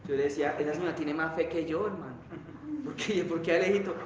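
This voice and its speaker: A man's voice: a little high, quick pace, muffled recording, background noise. A man preaching a sermon, then leading the church in emphatic, fervent prayer. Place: church hall. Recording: very echoey church hall with guitar.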